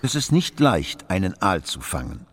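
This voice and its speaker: An actor in a radio play as a weary, brooding philosopher, terse and ponderous. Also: male voice, low voice, huge pitch swings, normal speed, husky, long reverb tail, exaggerated voice. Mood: reflective. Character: brooding, weary foreign philosopher at a northern court.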